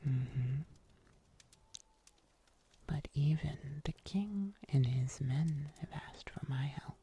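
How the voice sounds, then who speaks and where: A performer voicing a calm, seasoned monster huntsman in a soft, breathy ASMR whisper, telling tales slowly and deliberately. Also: mid-range voice. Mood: calm, storytelling. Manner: slow, deliberate, hushed storytelling with long pauses.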